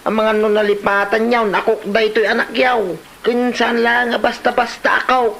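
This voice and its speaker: A man with an Ilocano accent acting a cheerful, boastful drinker in a comedy drama, with drawn-out exclamations. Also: extremely high pitch, monotone, vocal fry, fairly bright tone, full character voice. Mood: defensive.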